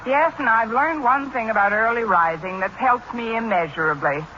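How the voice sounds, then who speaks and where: A wry middle-aged woman who teaches school, firing off dry wisecracks in a bright, forward voice. It is 1940s radio sitcom acting, on a dull old recording with faint noise. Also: a little high, normal speed. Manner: dry sarcastic delivery.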